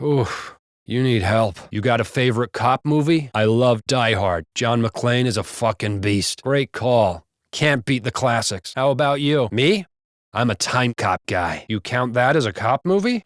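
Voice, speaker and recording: A man's voice: mid-range voice, a bit bright, no echo, natural voice. Man playing a blunt, foul-mouthed American cop, close and dry. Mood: earnest, exasperated, then casual banter. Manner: casual, blunt, profane wisecracking. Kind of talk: video game character banter.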